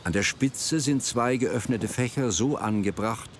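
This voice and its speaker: A man reading literary narration for a radio play in a measured, formal way. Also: medium pitch, a little hiss or hum.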